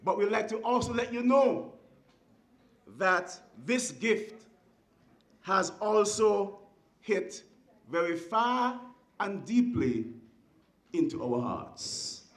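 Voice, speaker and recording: A speaker with a Kittitian accent giving a formal ceremonial speech in a deliberate, oratorical way, with emphatic short phrases. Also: medium pitch, expressive. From Saint Kitts and Nevis. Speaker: guest speaker at a school ceremony. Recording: echoey venue sound.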